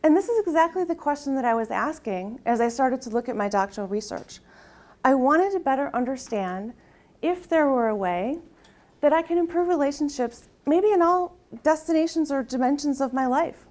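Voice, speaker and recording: A soft-spoken middle-aged woman presents her research to a live audience in a slightly higher voice. She leads them through an exercise and tags her points with a rhetorical "right?". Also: animated, normal speed. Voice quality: soft-spoken, tries to project. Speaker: conference presenter.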